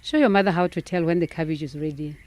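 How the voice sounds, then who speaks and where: A woman's voice: low-pitched, fast, a little mellow, close to an everyday voice. A woman playing a wise, patient elderly grandmother in a radio drama. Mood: kindly, wise. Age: older.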